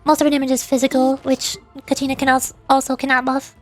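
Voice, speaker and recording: High-pitched playful woman streaming a game. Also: completely flat delivery, fast, soft background music. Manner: chirpy sound effects and exclamations. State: sore voice from hours of streaming. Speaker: livestreamer, vtuber. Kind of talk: playful gaming stream commentary, reading game text aloud.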